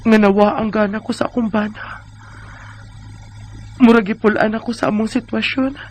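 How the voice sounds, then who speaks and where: A woman's voice: on the high side, fairly even intonation, moderate pace, a little hiss or hum, natural voice. A woman in her thirties with a Bisaya Filipino accent, playing a weary, discontented wife in a plaintive, sighing way for a radio drama. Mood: weary, troubled. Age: 30s. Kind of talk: Bisaya radio drama acting and letter narration.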